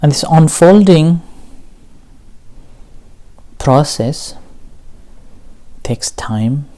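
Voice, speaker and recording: Very slow, mellow male meditation teacher. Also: fairly high pitch, expressive. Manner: slow, gentle, with long pauses between short phrases. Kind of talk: guided meditation dharma talk.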